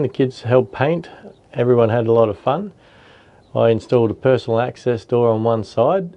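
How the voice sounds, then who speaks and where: A man in his thirties, a vlog host, giving a relaxed, plain-spoken update to a camera outdoors at a marina. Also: on the low side. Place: outdoors under a boat shelter by a marina.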